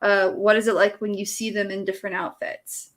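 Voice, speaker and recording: A woman's voice: medium pitch, level tone, fairly quick. A young woman hosting a casual live-stream chat, talking freely with lots of fillers and frequent laughter. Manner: chatty, laughs often, many fillers. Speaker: podcast host.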